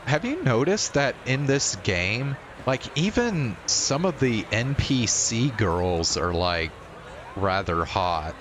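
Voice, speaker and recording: A man's voice: mid-range voice, big pitch swings, slow pace, noisy background. A man casually commentating on a live game stream in a blunt, profanity-laced way, speaking off the cuff. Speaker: livestreamer.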